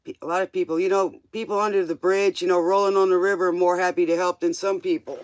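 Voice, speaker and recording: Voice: mid-range voice, little pitch movement, fast talker, clear, resonant tone, no echo. A livestream tarot host chatting casually in a rambling, informal way, drifting between thoughts and tossing out questioning asides.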